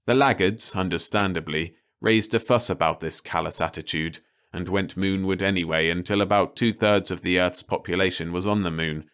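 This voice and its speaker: Deep, smooth-voiced male story narrator. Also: steady tone, normal speed, fairly bright tone. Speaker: audiobook narrator. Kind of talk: scripted story narration, read-along audiobook.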